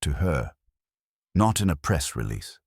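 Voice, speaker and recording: Man reading as wry, deep-voiced detective's assistant. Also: very animated, unhurried, creaky, breathy edge, no echo, clean studio sound, exaggerated voice. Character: wry, plain-spoken male detective's assistant. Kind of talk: audiobook detective story dialogue.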